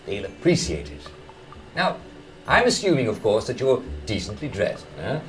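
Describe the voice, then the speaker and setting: A man's voice: on the low side, big pitch swings, moderate pace, a bit bright. An actor playing a relentlessly jolly, chummy male television presenter giving theatrical etiquette advice in a 1960s style. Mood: forced cheer.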